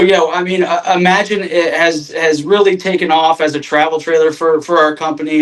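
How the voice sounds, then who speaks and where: Guy in his 30s from northern indiana, kinda high voice, humble rambly guest chat, lots of uh's. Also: fairly bright tone, low-quality recording. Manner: self-deprecating, lots of fillers and restarts. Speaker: guest, RV sales manager. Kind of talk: casual podcast chat.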